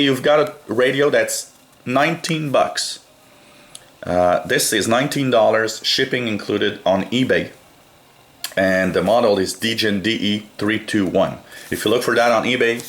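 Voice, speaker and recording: A man giving a casual gadget review, explaining things in a hesitant way with frequent pauses. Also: lowish voice, fairly bright tone. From North America. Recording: roomy, echoey sound.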